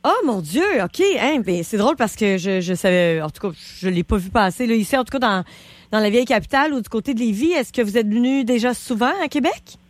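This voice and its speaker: A woman's voice: a little high, expressive, a little fast, dry recording. A woman hosting a radio interview, bubbly and eager, often chiming in with reactions. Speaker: radio host.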